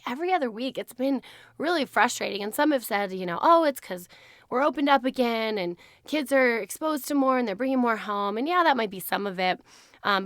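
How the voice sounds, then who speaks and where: A hoarse woman hosting her podcast in a casual, chatty way, laughing often. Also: medium pitch, a bit bright, no room sound, studio quality. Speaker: podcast host. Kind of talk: casual chat.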